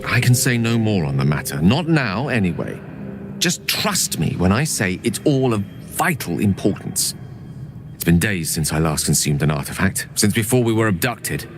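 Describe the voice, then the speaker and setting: A man's voice: lowish voice, big pitch swings, normal speed. An actor playing an earnest, genteel male wizard in a fantasy game, with a formal, wordy, courteous manner. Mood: grave, urgent. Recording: music under the voice.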